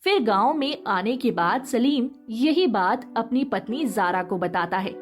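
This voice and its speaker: A woman's voice: a little high, animated, a little slow, soft background music. A woman reading a scripted moral story as its narrator.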